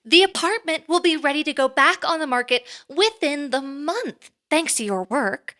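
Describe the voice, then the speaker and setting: A woman's voice: high-pitched, lively intonation, moderate pace, sharp tone. A woman playing a composed, businesslike boss in an audio drama, with formal, dry phrasing. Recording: faint music behind.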